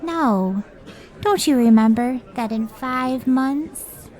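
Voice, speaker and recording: A woman's voice: high-pitched, expressive, slow, darkish tone. A woman playing a devoted, hard-working scientist wife in an old time radio drama. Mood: weary, fretful.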